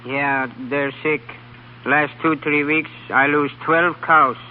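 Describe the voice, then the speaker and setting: Tinny radio immigrant farmer. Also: male voice, on the high side, steady tone. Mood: worried, pleading. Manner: broken English, halting and folksy. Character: plain-spoken male immigrant farmer, worried and humble. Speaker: actor in a radio drama. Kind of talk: old-time radio drama acting. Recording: tinny, hissy old radio recording.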